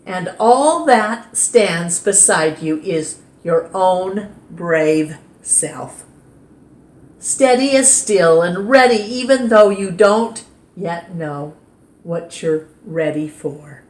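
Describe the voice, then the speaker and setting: A woman reading a picture book aloud at storytime in a gentle, expressive way. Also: lowish voice, drawn-out words. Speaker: storytime reader. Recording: roomy echo.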